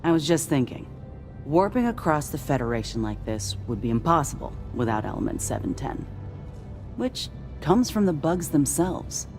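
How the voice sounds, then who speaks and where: A zealous female starship crew member from a sci-fi war game. Her voice is very low for a woman yet swings expressively as she muses conversationally, over faint music. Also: sing-song, moderate pace, a little mellow. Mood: musing, satisfied. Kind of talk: video game character dialogue.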